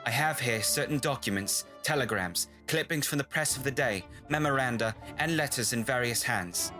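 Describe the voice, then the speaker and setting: An actor playing a solemn, formal gentleman who presents testimony in a measured, old-time radio drama style. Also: medium pitch, soft background music, close to an everyday voice. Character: grave, formal gentleman bearing witness to strange events.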